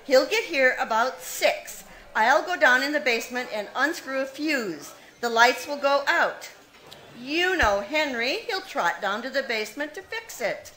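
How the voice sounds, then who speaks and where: A woman's voice: high voice, animated, measured pace, bright, forceful tone, exaggerated voice, rough recording. A woman playing a ruthless, scheming young woman who plots a murder, in 1940s radio acting style, cold and commanding. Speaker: radio play actor.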